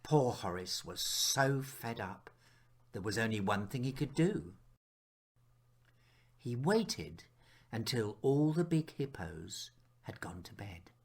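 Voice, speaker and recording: A man narrating a children's picture book as its author, telling it expressively with dramatic pauses and hushed moments. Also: mid-range voice. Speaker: author reading his picture book aloud.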